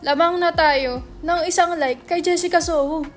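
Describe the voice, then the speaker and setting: A woman's voice: extremely high pitch, moderate pace, soft background music. A woman playing a brash, foul-mouthed young male writer in a dramatic script reading, slangy and insistent. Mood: excited.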